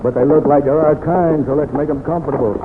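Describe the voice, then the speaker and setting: A man's voice: a little high, fast talker, background noise, natural voice. A man playing a tough, suspicious boss of an outlaw gang in a radio western. He sounds folksy and commanding, on a tinny old recording with long reverb. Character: wary, bossy male outlaw gang leader.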